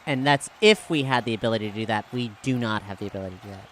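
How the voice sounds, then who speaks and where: A man playing a tabletop game, chatting casually with halting, bantering delivery. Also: on the low side, a bit bright, slight background noise. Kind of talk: casual chat.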